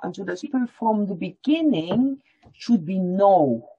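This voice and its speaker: Patient woman demonstrating a craft on a video call; slow, expressive delivery, dull audio. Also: mid-range voice, crowd noise. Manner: explains step by step, repeating lines in German. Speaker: felting teacher. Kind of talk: online workshop demonstration.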